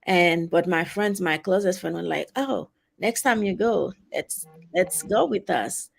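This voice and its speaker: A woman in her forties from Haiti with a heavy Haitian accent, talking as an interview guest in a halting, casual way with many fillers. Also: on the low side, creaky. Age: 40s. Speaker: guest, travel advisor.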